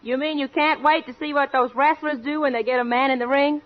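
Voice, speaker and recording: An actress playing a brassy, folksy, ditzy comedy star, exclaiming in 1940s radio comedy style. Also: female voice, high-pitched, steady tone, fairly quick, lightly acted. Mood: amused. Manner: exclaiming, folksy comic delivery. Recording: dull, noisy vintage radio recording, studio audience.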